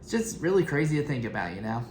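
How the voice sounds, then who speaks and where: A young adult woman giving casual, unscripted reaction commentary in a halting, rambling way. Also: a bass voice, very animated, darkish tone, a little hiss or hum. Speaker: reaction video host. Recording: roomy echo, clear music behind. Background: music from the intros being watched.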